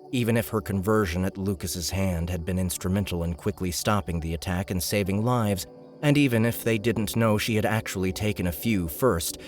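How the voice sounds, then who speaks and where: A man with a very low voice narrates a fantasy audiobook. He reads in a scripted storytelling style.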